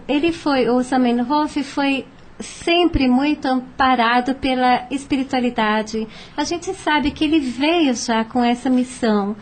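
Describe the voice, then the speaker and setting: Woman, Brazilian accent, high-pitched voice, reflective, hesitant, conversational, radio panel discussion. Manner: hesitant, conversational, pauses to find words. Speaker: panel participant on a radio programme.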